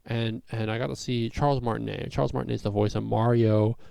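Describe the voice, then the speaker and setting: Young male comedian, raspy, rambling chatty vlog delivery, dry room. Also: medium pitch, steady tone, fast talker, mellow, no room sound. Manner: rambling, full of fillers and restarts.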